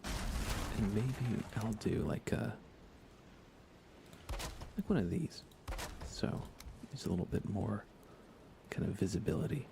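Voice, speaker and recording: Man, soft-spoken ASMR streamer, fry-heavy voice, casual unhurried chat, half-whispered at times, noisy recording with clicks. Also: on the low side, lively intonation. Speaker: live streamer.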